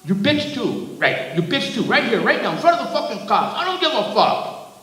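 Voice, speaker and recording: A man's voice: sing-song, moderate pace, full character voice. A man acting out a huge, explosive thug in an echoing hall. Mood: screaming rage. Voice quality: cracking, booming shout when enraged. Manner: profane, threatening street talk. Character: huge menacing male ex-con thug, explosive hair-trigger bully. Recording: echoey reverberant hall, distant mic.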